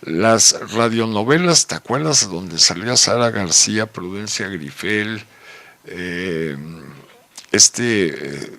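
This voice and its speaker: Middle-aged Mexican man, lowish forceful voice, casual anecdotal radio guest, close dry sound. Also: lively intonation, takes it slowly, no room sound.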